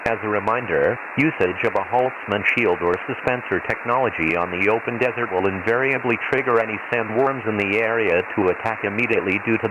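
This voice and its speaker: A man playing an impersonal imperial weather announcer on a desert planet, reading a formal, measured hazard bulletin through a radio filter. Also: lowish voice, muffled recording. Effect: radio transmission filter. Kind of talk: scripted emergency weather bulletin, read like automated weather radio. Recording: hissy, noisy shortwave-style radio transmission.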